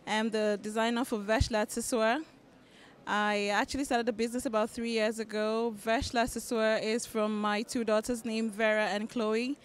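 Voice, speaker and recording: A woman with a Nigerian accent introducing her accessories line at a press junket into a handheld mic, relaxed with fillers. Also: fairly high pitch, normal speed, clean tone. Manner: relaxed, even delivery with fillers.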